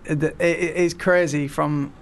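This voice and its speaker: A young man from Yorkshire with a Yorkshire accent, a singer-songwriter chatting as an interview guest, halting and full of fillers and restarts. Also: fairly high pitch, fairly even intonation, a little hiss or hum. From Yorkshire, England. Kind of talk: casual interview chat.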